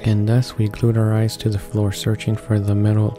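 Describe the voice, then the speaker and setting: Guy reading out game narration, flat and mellow, stumbles a bit, faint music. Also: on the low side, normal speed. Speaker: video game let's player.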